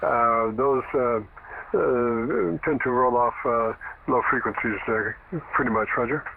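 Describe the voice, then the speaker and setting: A man heard over a radio transmission, an amateur operator giving casual technical advice in a halting, deliberate way. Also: mid-range voice, vocal fry. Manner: halting, deliberate, frequent pauses. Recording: noisy, narrow-band shortwave radio reception.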